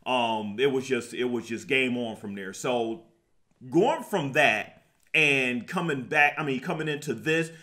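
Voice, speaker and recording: A middle-aged American man co-hosting a casual film review, halting and repeating words, with lots of "you know". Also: mid-range voice, pushed and bright. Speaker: podcast co-host reviewing films. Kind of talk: casual movie review chat. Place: indoor room with projector screen. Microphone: tabletop condenser mic between the two hosts.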